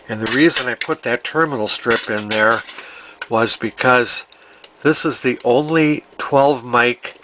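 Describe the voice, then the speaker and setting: A man with a mid-pitched voice presents a casual, hands-on repair walkthrough. He thinks aloud as he goes, halting and repeating words like a hobbyist explaining work at the bench. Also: pushed and bright, slight background noise, poor audio. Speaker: vintage radio repair hobbyist and video host.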